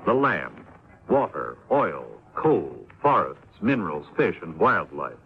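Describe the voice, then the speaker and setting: A man's voice: low-pitched, big pitch swings, slow pace. A man with an American accent delivering scripted 1950s radio narration as an announcer. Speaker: announcer of a public information talk. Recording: dull 1950s radio broadcast, faint noise.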